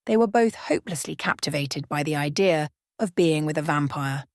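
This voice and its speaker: A woman's voice: low voice, animated, moderate pace, no echo, studio quality. A woman reading a scripted bedtime story as a storyteller in an ASMR style.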